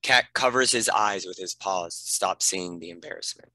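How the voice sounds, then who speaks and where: Male narrator for screenplay directions: lowish voice, clear forward edge, scripted delivery, dry recording. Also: moderate pace, bright, forceful tone, no room sound. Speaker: narrator reading stage directions.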